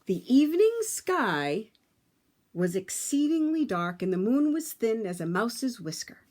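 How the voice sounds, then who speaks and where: Woman, children's read-aloud, highly varied pitch, dramatic emphasis, drawn-out words. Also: sing-song, a little mellow. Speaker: storyteller reading aloud to children. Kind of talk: expressive children's picture-book read-aloud.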